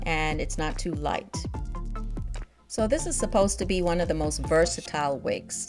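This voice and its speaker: Low-voiced upbeat woman vlogger. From Nigeria and United States. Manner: chatty, upbeat, laughs often. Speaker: beauty vlogger. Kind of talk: casual product review.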